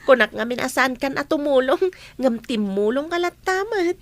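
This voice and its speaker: A woman's voice: high voice, animated, normal speed, no room sound. An actress playing a friendly, contented young woman in a radio drama.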